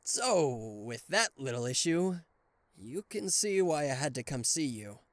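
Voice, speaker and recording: A man playing a charismatic, flustered king of hell as a boyfriend in an ASMR roleplay audio drama, with a theatrical, halting delivery. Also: mid-range voice, sing-song, no echo. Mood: exasperated, lovestruck. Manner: theatrical, hesitant stammering, exasperated sighs.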